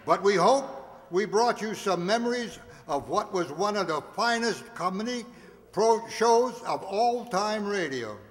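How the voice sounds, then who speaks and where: A man's voice: high-pitched, animated, unhurried. An older man hosting, giving a closing announcement to an audience from a stage. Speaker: host closing the show. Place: community hall stage. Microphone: stand microphone. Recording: noisy, echoey hall recording.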